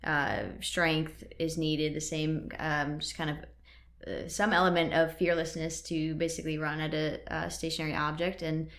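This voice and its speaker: A woman's voice: deep voice, level tone, normal speed, fairly bright tone. A woman in her thirties with a mixed Northern and Southern US accent, a podcast guest explaining at length in casual chat, with many fillers. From Wisconsin and North Carolina, US. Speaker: podcast guest, CrossFit coach and gym owner. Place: physical therapy clinic.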